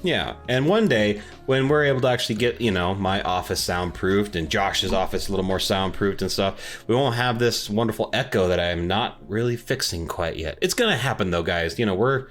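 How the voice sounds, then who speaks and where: A man's voice: lowish voice, brisk, hardly any pauses. A man hosting a podcast in an informal, conversational, self-deprecating way, chatting casually. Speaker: podcast host. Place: home office without soundproofing. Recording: echoey untreated home office, music underneath. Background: underlying background music.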